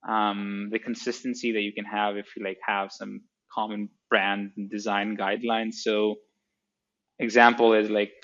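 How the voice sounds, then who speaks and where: A man's voice: on the low side, level tone, normal speed, a bit bright, highs cut off. A man from Greece, a startup co-founder, answering interview questions in a hesitant way with many fillers and restarts. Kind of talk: casual interview.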